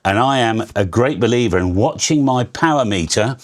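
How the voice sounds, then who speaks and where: A man giving a casual hands-on radio tutorial, a loud, energetic talker who explains in a chatty way with frequent restarts. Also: mid-range voice, normal speed. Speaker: radio channel host.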